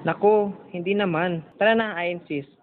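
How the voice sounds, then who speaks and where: A man's voice: high voice, moderate pace, natural voice. A man playing a gallant, easygoing young café boss with a Filipino accent in an amateur romance radio drama. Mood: gallant, insistent. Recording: tinny phone-like band, loud background noise.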